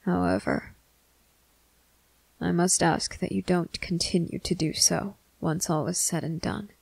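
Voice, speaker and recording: Performer as male vampire military officer, stoic, commanding, unhurried delivery, gravelly edge, ASMR roleplay. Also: medium pitch, fairly even intonation, no room sound, poor audio. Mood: grave, firm. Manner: slow, deliberate, stern, commanding, hushed at times. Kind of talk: ASMR roleplay addressed to the listener.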